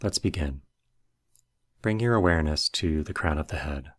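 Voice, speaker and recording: A man with a low-pitched voice narrates a guided meditation. He gives instructions in a measured way, working from a script as a meditation guide. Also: lively intonation.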